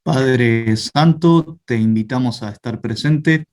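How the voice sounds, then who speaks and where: A man with a Rioplatense Spanish accent saying a spoken prayer, slow and searching, repeating words. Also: mid-range voice, a little mellow.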